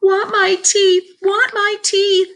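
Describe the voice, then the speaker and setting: A woman voicing an excitable, demanding toddler boy who talks in short, babyish repeated phrases, in an audiobook story. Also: high-pitched, takes it slowly, voice pushed up, full character voice. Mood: wailing.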